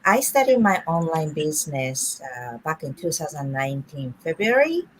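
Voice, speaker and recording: A middle-aged woman from Japan hosting a casual online business chat, friendly and unhurried, with frequent fillers. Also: low voice, sing-song, slight background noise, low-quality recording. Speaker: host.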